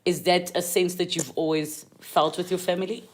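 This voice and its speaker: A woman's voice: fairly low pitch, steady tone, normal speed. A woman from South Africa interviewing guests, asking probing, empathetic questions in a casual conversation.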